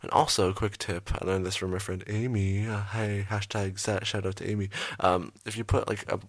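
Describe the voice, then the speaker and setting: A young man hosting his art channel, rambling through a late-night voiceover with lots of ums, speaking quietly and a little raspy. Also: fairly low pitch, little pitch movement, moderate pace, no room sound. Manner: rambling, many ums, trailing off. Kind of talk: casual voiceover over a speed drawing. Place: his bedroom at home, at night.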